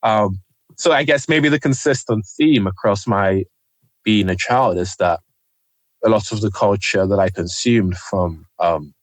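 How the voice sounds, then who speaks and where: A young man from Nigeria speaks in a somewhat low voice, thoughtful and halting, filling pauses with ums. The recording is dry and close, with no room sound. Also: no echo, low-quality recording. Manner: hesitant, many ums, soft-spoken. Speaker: interview guest, journalist. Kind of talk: reflective conversational interview.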